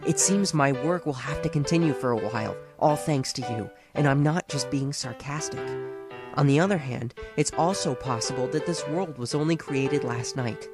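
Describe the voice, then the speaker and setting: A man playing a polite, enigmatic teenage schoolboy in an audio drama, speaking in a courteous, formal, measured way. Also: medium pitch, close to an everyday voice. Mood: polite, grateful. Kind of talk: scripted audio drama dialogue. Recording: music behind the voice.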